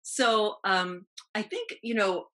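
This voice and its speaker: A woman from New York with an American accent, speaking reflectively as an interview guest in quick, clipped phrases. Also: medium pitch, sing-song, sharp tone. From New York, United States. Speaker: guest, actress recalling TV roles.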